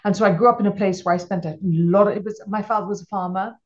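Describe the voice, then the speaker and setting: A woman's voice: mid-range voice, quick pace, highs cut off. A middle-aged woman from Malawi, a guest entrepreneur, telling stories in casual interview chat with many restarts. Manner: rambling, frequent restarts, animated storytelling.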